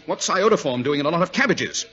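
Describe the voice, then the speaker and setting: Animated, imperious British detective. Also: male voice, fairly high pitch, fast, soft background music. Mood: urgent commanding. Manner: imperious, clipped exclamations. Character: brisk, commanding gentleman detective, urgent then amused. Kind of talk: 1940s radio drama acting. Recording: dull vintage radio recording.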